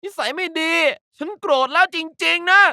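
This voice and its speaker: A woman voicing a cute, energetic, pouty young girl mascot for a card game, playful and emphatic in an anime-like style. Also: very high-pitched, normal speed, creaky, dry recording, clean studio sound, exaggerated voice. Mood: pouty, angry. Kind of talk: character voice pack lines, anime-like acting.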